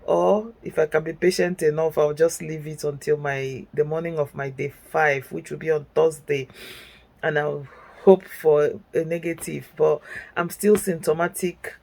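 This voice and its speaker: Woman, middle-aged, sick and congested, gravelly, casual vlog from bed, hesitant fillers. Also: low voice, fairly even intonation, unhurried, darkish tone. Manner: hesitant, many fillers, upbeat through the illness. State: sick with a cold, stuffy and chesty. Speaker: vlogger, nurse. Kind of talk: casual story-time vlog. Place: indoors, in bed at home.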